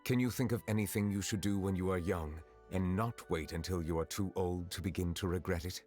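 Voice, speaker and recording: An actor playing a dignified, aloof man in a fantasy game, speaking formally and gravely, as if giving a lecture. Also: sits low, steady tone, brisk, a little mellow, faint music behind, lightly acted. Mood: grave, lecturing. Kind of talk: scripted game character dialogue.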